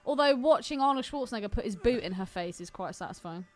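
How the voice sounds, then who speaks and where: A woman's voice: a little high, animated, brisk. A woman co-hosting a podcast in casual banter, teasing and playfully cutting in. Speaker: podcast co-host.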